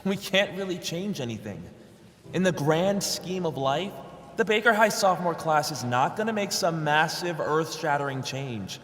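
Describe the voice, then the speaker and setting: Teen hero, male, actor-played: cocky, casual, defiant, lively intonation, reverberant space, audio drama. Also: a little high, moderate pace. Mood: cocky, rousing. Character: cocky, defiant fifteen-year-old high school boy hero. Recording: echoey reverberant hall, music behind.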